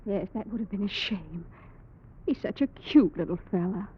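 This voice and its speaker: An actress playing a nervous sweetheart in a 1940s radio drama, with anxious, urgent delivery. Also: female voice, mid-range voice, normal speed, slightly rough. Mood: sweet. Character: nervous woman, the tough showman's frightened sweetheart. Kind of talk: 1940s old-time radio acting. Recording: muffled vintage radio recording, heavy noise.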